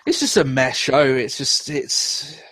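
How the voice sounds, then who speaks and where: A man's voice: mid-range voice, lively intonation, slow pace, sharp tone, no room sound. A young man hosting a podcast, reviewing wrestling in casual, profane chat with frequent laughs. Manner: profane, laughs often, rambling. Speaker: podcast host.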